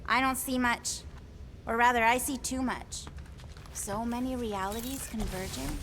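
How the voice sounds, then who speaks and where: A woman's voice: on the high side, steady tone, a little slow, fairly bright tone, slight background noise. A woman voicing a quirky, cheerful alien seer in a video game, whimsical and oddly candid. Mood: musing.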